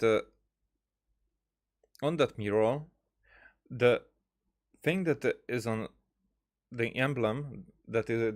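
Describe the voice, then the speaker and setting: A man giving casual game commentary, thinking aloud in a halting, rambling way. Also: fairly low pitch, darkish tone. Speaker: gaming streamer.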